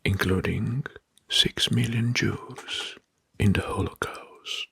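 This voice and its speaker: Whispering male ASMR host, very breathy. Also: fairly low pitch, fairly even intonation, no room sound. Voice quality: breathy, mostly unvoiced whisper. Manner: hushed, deliberate, repeating key phrases softly. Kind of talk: whispered ASMR history narration. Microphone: close microphone.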